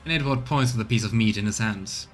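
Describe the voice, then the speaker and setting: A man streaming a video game, reading dialogue and narration aloud in a measured way with casual asides. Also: lowish voice. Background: game music.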